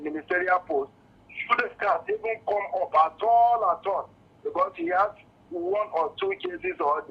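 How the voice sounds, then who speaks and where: Nigerian guy on a phone-in, raspy voice that jumps all over the place, earnest, repeats himself, dull lo-fi line. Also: sits high. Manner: halting, repeats words, earnest and insistent. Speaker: radio phone-in caller. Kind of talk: spontaneous opinion on a call-in show. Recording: muffled, lo-fi phone call to radio.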